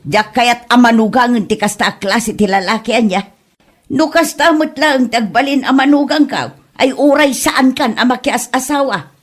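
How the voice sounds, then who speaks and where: A woman's voice: on the high side, normal speed, lightly acted. A woman with an Ilocano Filipino accent playing a stern, disapproving mother in a comedy audio drama. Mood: scolding.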